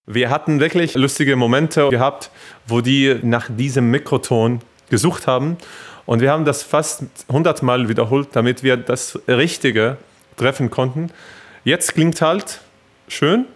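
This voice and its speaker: A man from Syria who plays oud and sings talks about rehearsals in a friendly, relaxed way. His voice sits at a middle pitch. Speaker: oud player and singer. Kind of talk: interview answers.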